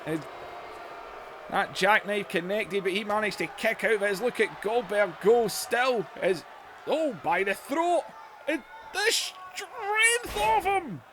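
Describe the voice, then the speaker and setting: Excitable high-voiced man commentating wrestling. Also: takes it slowly, a little hiss or hum. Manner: excitable, shouts and laughs at big moves. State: voice going hoarse. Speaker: play-by-play commentator for a wrestling video game.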